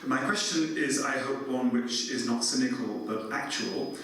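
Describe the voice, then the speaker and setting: Audience member, male, formal and courteous, loud and distant in an echoey hall. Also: mid-range voice, normal speed. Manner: formal and courteous, speaks loudly without the mic. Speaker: audience member asking a question. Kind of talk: audience question at a panel. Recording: echoey hall from far off, faint noise.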